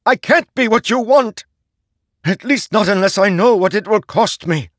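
A man voicing a young male warrior, pleading and defiant, pushing toward desperate shouts. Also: fairly low pitch, animated, a little slow, no room sound, studio quality, voice pushed up. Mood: anguished.

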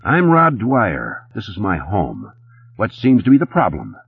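Guy doing a suave older hollywood host, dramatic and polite, very up and down pitch, audiobook. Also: wildly swooping pitch, measured pace, vocal fry, breathy edge, dull audio, full character voice. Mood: haughty, inquiring. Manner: theatrical, polite.

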